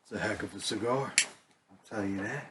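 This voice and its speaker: A man with a clear voice without rasp, chatting in a laid-back, joking and agreeable way. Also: lowish voice, brisk, heavy background noise. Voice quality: clear, not raspy. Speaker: cigar review co-host. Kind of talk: casual chat.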